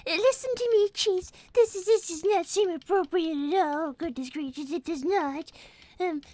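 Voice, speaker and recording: A woman's voice: extremely high pitch, moderate pace, darkish tone, no echo. A woman voicing a prim, polite little cartoon rabbit girl who is protective and fussily scolding. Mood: prim, disapproving. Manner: prim, fussy, genteel exclamations. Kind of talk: in-character livestream comedy.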